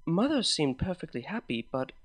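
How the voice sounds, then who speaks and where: An earnest, hesitant young mare pony, read by a man, explaining herself carefully. The voice is a little high for a man's. She sounds like a storybook pony. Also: very animated, normal speed, no echo, faint music behind.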